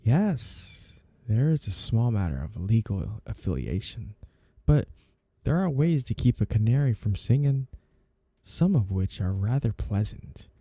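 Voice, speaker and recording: A man acts out a mafia boss for an intimate audio roleplay, with a very dark, mellow voice that crackles with fry. He is flirty and two-faced, lingering slowly over his words. Every courtesy is smooth and teasing, and carries a possessive, lovesick menace. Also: fairly low pitch, very animated, dry recording, heavily acted. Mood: sly, threatening. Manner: smooth-talking, teasing, theatrically polite while threatening. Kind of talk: audio roleplay ASMR acting.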